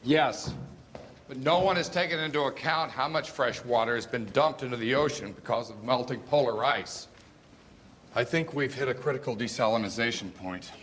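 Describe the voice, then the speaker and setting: A man's voice: medium pitch, normal speed, a bit bright, close to an everyday voice. An actor playing a male scientist in a disaster film, giving an earnest, urgent warning. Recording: distant film audio with long hall reverb and faint noise.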